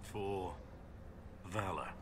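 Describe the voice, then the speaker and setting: A man playing a gruff, guarded veteran warrior in a video game, curt, dry and plain-spoken. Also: sits low, drawn-out words, slight background noise. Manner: curt, dry, matter-of-fact. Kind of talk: video game dialogue acting.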